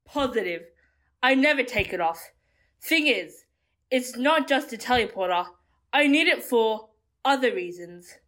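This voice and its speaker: Actress as headstrong young heroine, fairly high voice, hot-headed, audio drama delivery. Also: female voice, lively intonation, a little slow, a bit bright. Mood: indignant.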